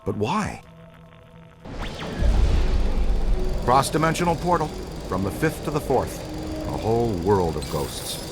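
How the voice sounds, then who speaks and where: Video game role: enthusiastic male ghost hunter, earnest and excitable, extremely varied pitch, over clear music. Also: moderate pace. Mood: awed wonder. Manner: earnest, animated, urgent.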